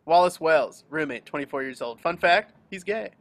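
A man's voice: fairly high pitch, animated, moderate pace. A man reading scripted stage directions as the narrator of a table read. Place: remote group video call.

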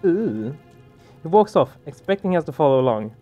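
Higher-pitched man doing game commentary. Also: big pitch swings, moderate pace, dull audio. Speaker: let's play streamer. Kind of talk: casual gaming commentary, reading game text aloud. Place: small room indoors. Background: game music.

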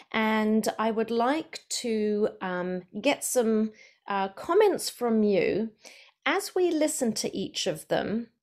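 A woman's voice: medium pitch, a little mellow. A woman speech therapist giving an online presentation over a video call, explaining in a friendly way with some fillers.

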